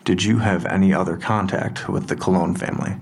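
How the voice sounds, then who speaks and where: A gravelly, low-voiced police detective. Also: male voice, fairly even intonation, slight background noise. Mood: probing. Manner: deliberate, matter-of-fact questioning. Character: methodical, probing male police detective, quietly pressing. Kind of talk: audio drama dialogue.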